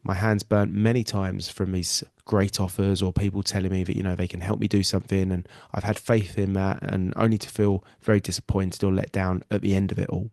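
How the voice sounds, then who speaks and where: A man's voice: low-pitched, flat delivery, brisk, gravelly, hardly any pauses, darkish tone, no echo, studio quality. A man with a nasal cold, hosting a live weight loss webinar in an earnest, reassuring way and often repeating himself. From England. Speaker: weight loss coach and podcast host.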